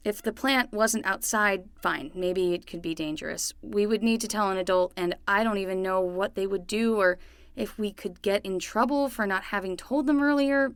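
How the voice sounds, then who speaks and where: A woman playing a young, excitable summer nanny with a casual, rambling way of talking in a fiction podcast. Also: medium pitch, clear, resonant tone, dry recording, natural voice. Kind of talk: scripted fiction podcast acting.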